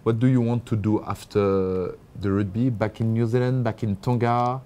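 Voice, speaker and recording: A man's voice: on the low side, steady tone, moderate pace, mellow. A man with a French accent, a native French speaker, asking short, direct, teasing questions as an interviewer. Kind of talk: casual, informal interview questions. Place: indoor sports locker room. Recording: noticeable room noise.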